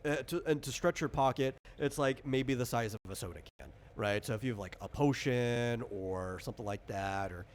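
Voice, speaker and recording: A man with a medium-pitched voice explains a tabletop game in a casual, conversational way. He works things out as he talks, hesitating and rephrasing. There is faint noise in the room around him. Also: moderate pace, hardly any pauses. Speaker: game master and developer explaining his tabletop RPG. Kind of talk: casual explanatory chat during character creation.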